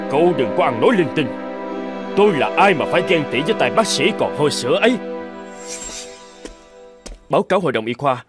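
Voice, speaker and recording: A formal, emphatic man from a cartoon medical drama, played by an actor. His mid-pitched voice swings widely in dramatic delivery. Music plays clearly behind him. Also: close to an everyday voice. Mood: indignant, then grave.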